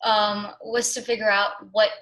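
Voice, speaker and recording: A woman's voice: mid-range voice, level tone, moderate pace, fairly bright tone. A young woman in her twenties, a research fellow, answering interview questions in a chatty way, often saying "like" and "um". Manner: chatty, with frequent "like" and "um".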